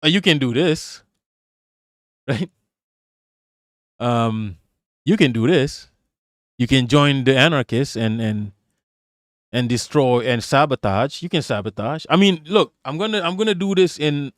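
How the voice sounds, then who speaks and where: A man's voice: mid-range voice, lively intonation, unhurried, pauses often, no echo, clean studio sound. A man using a put-on American accent as a livestream political commentator, reading aloud and then ranting. He is emphatic and profane, and he often stutters and repeats words.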